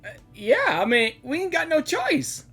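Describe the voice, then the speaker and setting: A woman's voice: sits high, huge pitch swings, measured pace, fairly bright tone. A woman giving spontaneous reaction commentary on a game, exclaiming and repeating her words. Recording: game music behind the voice.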